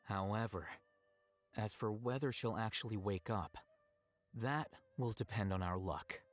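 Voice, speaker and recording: A man playing a calm, calculating scholar in a video game, laying out plans in measured, articulate phrases. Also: fairly low pitch, soft background music, lightly acted. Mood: composed.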